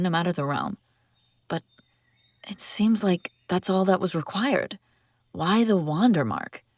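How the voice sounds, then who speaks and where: A woman's voice: on the low side, darkish tone, no echo, natural voice. A woman playing a commanding, wry escaped princess in an audio roleplay, speaking dramatically and expressively straight to the listener. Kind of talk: immersive audio roleplay acting, one side of a dialogue.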